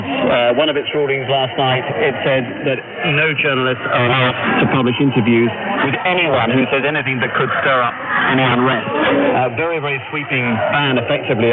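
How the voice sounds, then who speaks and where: Male foreign correspondent. Off-the-cuff news report, phone-thin sound buried in loud static. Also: mid-range voice. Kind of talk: unscripted live news report. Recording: very noisy narrow shortwave reception.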